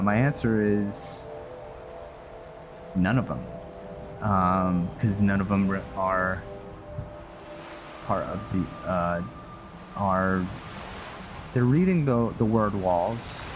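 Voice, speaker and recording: A man giving casual gameplay commentary, thinking aloud in a rambling, halting way. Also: deep voice. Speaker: gameplay video host and role-player. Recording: clear background noise, game ambience. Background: noise and video game ambience.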